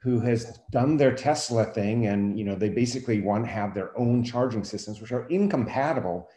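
A man's voice: mid-range voice, moderate pace, dark tone. A man giving his opinions in a casual discussion, conversational and emphatic. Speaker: podcast host, teacher. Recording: echoey room.

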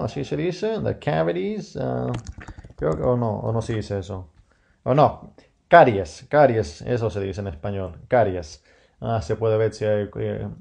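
Male podcast host, non-native Spanish speaker, gravelly and dark-toned, hesitant and casual. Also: fairly low pitch. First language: not Spanish. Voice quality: gravelly, hesitant with many fillers and self-corrections. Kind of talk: casual monologue in a learner's Spanish.

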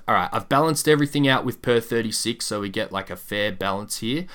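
A man hosting a sports podcast, chatting and reading out prepared stats in a measured, deliberate quizmaster way. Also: fairly low pitch, a bit bright.

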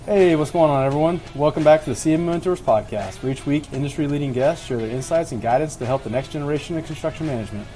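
A man's voice: on the high side, quick pace, hardly any pauses, over music, rough recording. A man hosting a podcast in a casual, chatty style. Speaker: podcast host.

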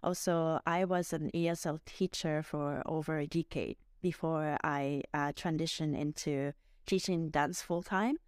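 A woman in her forties from Japan, speaking English with a Japanese accent as a podcast guest, warm and laughing often, with plenty of "um" and "like". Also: deep voice, steady tone, unhurried, no echo, studio quality. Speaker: guest, dance instructor. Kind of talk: casual interview.

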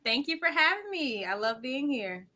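A woman's voice: high voice, very animated, moderate pace, bright, forceful tone. A woman, a financial coach, answering interview questions earnestly and explaining things plainly, with frequent ums and likes.

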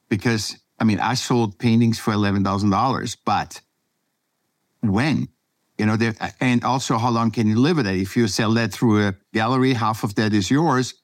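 A man from Austria with an Austrian accent, telling his story off the cuff as a podcast guest, halting and repeating words for emphasis. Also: mid-range voice, no room sound. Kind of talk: spontaneous personal storytelling.

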